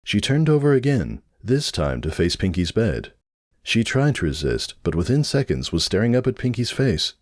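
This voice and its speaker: Male narrator, deep pitch, lively intonation, dramatic story reading, dry close sound. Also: moderate pace, dry recording. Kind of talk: dramatic fan fiction narration.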